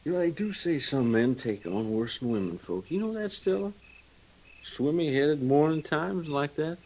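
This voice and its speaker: An American actor playing a worried, tender young cavalry trooper husband in an old-time radio drama, with a folksy rural way of talking. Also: male voice, on the high side, expressive, normal speed, low-quality recording. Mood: anxious, caring. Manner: folksy rural drawl, gentle and earnest.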